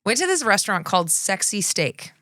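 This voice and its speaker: A woman's voice: medium pitch, sing-song, brisk, pushed and bright, no room sound, clean studio sound. A woman co-hosting a podcast, chatting with enthusiasm about food and travel and often trailing off to restart her sentences. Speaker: podcast co-host. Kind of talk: casual chat.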